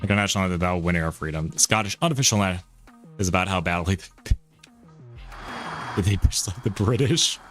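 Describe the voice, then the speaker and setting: A man's voice: low-pitched, fast, pauses often. A man streaming a racing game, chatting casually and rambling, often stumbling over his words. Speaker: live streamer. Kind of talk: casual gameplay commentary. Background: music.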